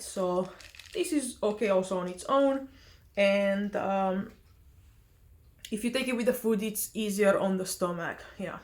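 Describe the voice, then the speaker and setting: Woman, young adult, slow pace, hesitant, lots of fillers, friendly vlog chat. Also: medium pitch, darkish tone. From Romania. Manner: hesitant, many fillers and restarts. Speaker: vlogger. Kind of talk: casual explainer vlog.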